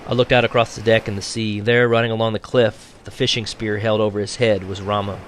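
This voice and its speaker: A man reading a novel aloud as a teacher would for his class, in an expressive audiobook style. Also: fairly low pitch, brisk, slight background noise.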